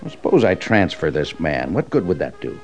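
An actor playing a commanding senior army major in a radio Western, authoritative and questioning. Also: male voice, rumbling low, big pitch swings, moderate pace, soft background music, heavily acted. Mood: insistent. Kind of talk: old-time Western radio drama acting. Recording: dull, band-limited old radio recording.

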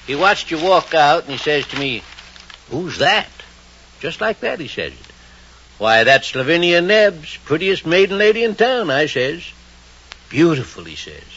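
An actor playing a folksy, rambling, sheepish small-town druggist in an old radio drama. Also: male voice, on the high side, sing-song, a little slow, sharp tone, heavily acted. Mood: folksy admiration. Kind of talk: 1940s-50s radio drama acting. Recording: muffled, hissy old radio recording.

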